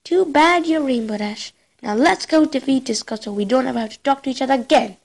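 A woman voicing a bookish young pony princess from a cartoon, earnest, excitable and dramatic in her delivery. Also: sits high, fairly quick, creaky. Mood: urging, annoyed. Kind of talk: cartoon character acting.

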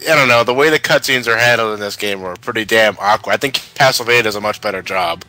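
A man's voice: on the low side, fast talker, sharp tone, low-quality recording. A man giving dryly critical, casual commentary on a video game. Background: game music.

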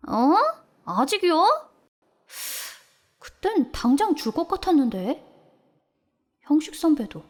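A woman playing a high, bubbly young junior colleague. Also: sing-song, takes it slowly, pauses often, exaggerated voice. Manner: bright, teasing, eager. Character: cheerful young female junior office worker. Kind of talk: amateur audio drama acting.

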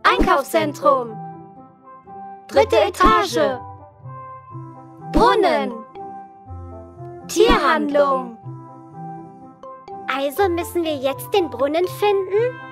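A woman's voice: very high voice, expressive, extremely slow, pauses often, heavily acted. A woman voicing a cheerful, helpful little girl in an educational children's cartoon, speaking to young viewers in simple instructive phrases. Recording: music behind the voice.

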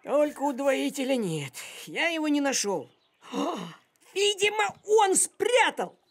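An actor voicing a bossy, fussy talking farm cat in an animated cartoon, with a commanding, nagging, excitable manner. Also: high-pitched, slow pace, exaggerated voice. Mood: defensive. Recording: music behind the voice.

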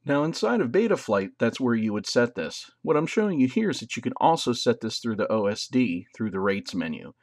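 A man's voice: mid-range voice, sing-song, fairly quick, few pauses, dry recording, clean studio sound. Casual man explaining drone settings. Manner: casual, explanatory, loose phrasing. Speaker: drone tutorial host. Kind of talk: instructional voice-over.

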